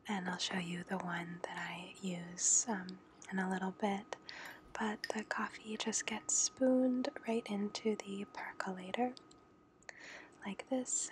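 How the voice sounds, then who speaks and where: A woman whispers slowly and softly, walking through each step in an unhurried way. She is recorded close up in a home kitchen, with clear background noise under her voice. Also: mid-range voice. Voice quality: soft unvoiced whisper. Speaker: home coffee maker demonstrating. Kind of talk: whispered ASMR tutorial.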